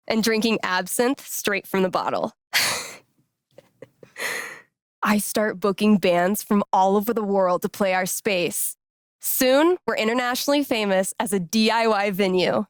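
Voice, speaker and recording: A woman playing a cool, sarcastic, warm-hearted young indie musician in a video game, with an American accent and casual, slangy delivery. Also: mid-range voice, dry recording, studio quality, natural voice. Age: young adult. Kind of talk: naturalistic video game voice acting.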